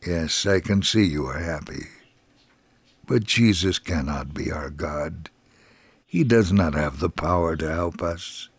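A man's voice: low-pitched, measured pace, husky, dry recording, voice pushed down, exaggerated voice. A man voicing a poor, despairing alcoholic father in a narrated devotional story, burdened and confessional. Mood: doubting.

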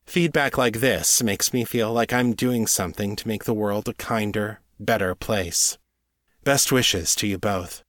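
A man's voice: lowish voice, a little slow, a bit bright. A man hosting a solo podcast, reading a scripted update in an earnest, articulate way, close on the microphone. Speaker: podcast host and fiction author.

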